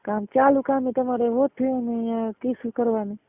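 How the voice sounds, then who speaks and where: A woman's voice: a little high, steady tone, brisk, dry recording. A woman with a Gujarati accent chatting casually and colloquially, explaining things and often checking that the listener follows. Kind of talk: casual private phone chat. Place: recorded phone call. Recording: muffled narrowband phone call recording.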